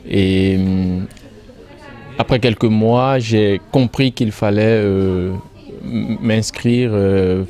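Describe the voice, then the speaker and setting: Hesitant deep-voiced man from Togo. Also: steady tone, extremely slow, dark tone. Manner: hesitant, with many fillers. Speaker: former student. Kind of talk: interview testimony.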